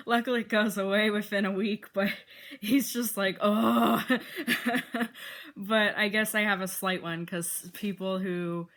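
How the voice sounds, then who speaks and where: A woman's voice: mid-range voice, steady tone, takes it slowly, a bit bright. Young woman vlogger, slight Wisconsin accent, casual rambling delivery with frequent fillers and laughs. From Arizona.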